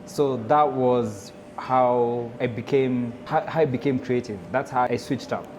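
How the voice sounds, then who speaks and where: Male, Kenyan accent, mid-pitched, relaxed conversational interview delivery as a chef, noticeable background noise. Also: unhurried. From Nairobi, Kenya. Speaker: chef and restaurant owner.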